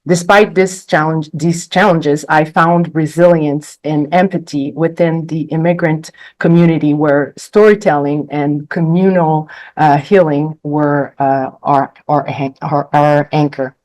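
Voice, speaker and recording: A woman's voice: low voice, fairly even intonation, measured pace, a little mellow, dry recording. A woman in her forties from Quebec, speaking as a panelist and reading a prepared speech to an audience. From Quebec, Canada. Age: 40s. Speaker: panelist, book contributor.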